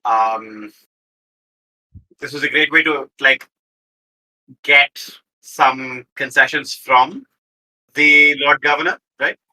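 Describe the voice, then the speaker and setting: Male player, very bright, sharp voice, medium pitch, casual banter. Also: steady tone, slow, long pauses, rough recording. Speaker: podcast player.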